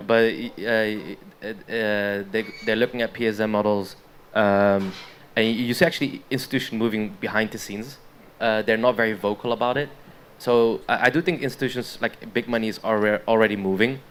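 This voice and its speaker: Man from the Netherlands, low voice, halting panel talk, faint room noise. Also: steady tone. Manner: hesitant, many restarts and fillers. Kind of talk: conference panel discussion.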